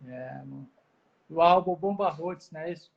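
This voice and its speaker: Guy hosting a live stream, slightly high voice, says yes a lot, muffled audio. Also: moderate pace, creaky. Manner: short, affirming replies. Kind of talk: casual live-stream interview.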